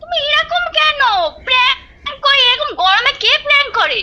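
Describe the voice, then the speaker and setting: A woman's voice: very high voice, animated, moderate pace. A woman on a phone call, irritated and complaining as she is pranked. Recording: phone line with background noise.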